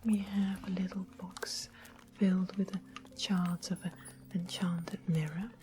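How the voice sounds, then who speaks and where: A woman's voice: fairly low pitch, little pitch movement, natural voice. Whispering sly, sweet witch played by a woman. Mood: softly informative. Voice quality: soft, breathy whisper. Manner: gentle, unhurried, politely formal. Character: sly, sweet-mannered witch of a fantasy kingdom. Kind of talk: whispered ASMR fantasy roleplay. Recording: clear background noise, ambient music.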